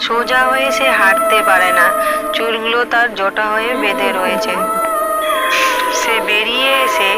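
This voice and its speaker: A woman's voice: mid-range voice, rough recording. A woman narrating a scripted horror story for a cartoon. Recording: loud background music.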